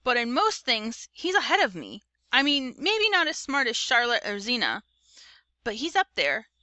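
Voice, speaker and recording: A woman's voice: fairly high pitch, bright, forceful tone, no echo. A woman voicing a good-natured fifth-grade boy who narrates a storybook in a casual, conversational way. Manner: casual, conversational, youthful slang.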